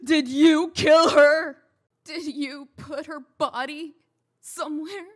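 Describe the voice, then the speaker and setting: A woman's voice: sits high, fairly even intonation, slow. A woman playing a proud, composed fairy-tale heroine holding back worry, formal and firm in a comic dub drama. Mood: distraught, angry.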